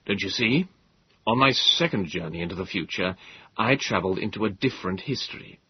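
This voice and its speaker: A man's voice: on the low side, animated, brisk, husky, no echo, muffled recording. A man voicing a weary, earnest male time traveller who speaks with urgent insistence.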